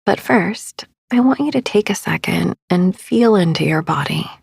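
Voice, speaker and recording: A woman speaking in a soothing, hushed, often near-whispered voice as she guides a sleep meditation, breathy and husky with vocal fry, pausing gently between phrases. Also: fairly low pitch, animated, no room sound, clean studio sound. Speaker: podcast host, meditation guide. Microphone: close studio microphone, dry.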